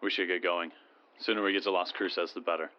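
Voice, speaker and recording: A man's voice: sits low, flat delivery, a little fast, muffled recording, noisy background, natural voice. A man playing an earnest, dutiful American soldier in an audio drama. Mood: determined. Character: earnest American soldier, survivor, confident in the army.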